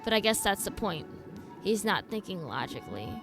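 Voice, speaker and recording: A woman's voice: medium pitch, sing-song, brisk, music in the background. A woman streaming a game, giving casual, informal reaction commentary as she thinks aloud in a skeptical way.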